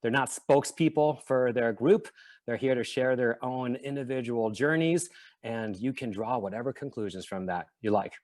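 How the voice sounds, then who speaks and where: A man's voice: medium pitch, normal speed, a little mellow. Earnest man hosting an online panel. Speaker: event host.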